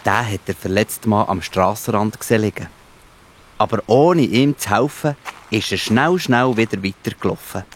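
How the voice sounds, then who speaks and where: Low-voiced Swiss German male storyteller. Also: moderate pace, slight background noise. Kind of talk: scripted children's Bible story narration.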